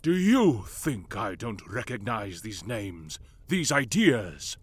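Man doing a proud, bitter old aristocrat, husky and slow, lively swings in pitch. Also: exaggerated voice. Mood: snarling. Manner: slow, growling delivery. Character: old male lord, gruff, bitter and proud.

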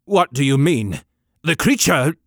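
A man's voice: on the high side, very animated, a little slow, gravelly, fairly bright tone, no room sound, clean studio sound, voice pushed up, full character voice. A man reading an audiobook, voicing a flustered, anxious vicar who speaks urgently. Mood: alarmed. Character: flustered middle-aged male vicar.

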